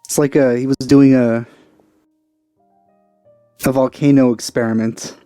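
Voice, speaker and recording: Mellow man giving wry game commentary. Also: mid-range voice, fairly even intonation, measured pace, clean tone, no echo, soft background music. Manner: wry, offhand. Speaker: gamer, let's play host.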